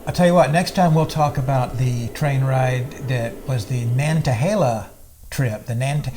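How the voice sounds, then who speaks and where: A man's voice: mid-range voice, unhurried, warm tone, slight background noise. A middle-aged man hosting his own travel vlog, chatting casually and playfully with his father indoors. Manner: chatty, playful, asks questions. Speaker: vlog host. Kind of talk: casual chat.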